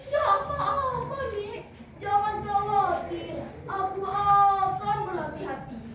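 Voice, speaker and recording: A young female storyteller voicing a strong, wise and gentle old grandfather who speaks calmly and steadily. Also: very high voice, takes it slowly, voice pushed up. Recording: echoey hall, from far off, noisy.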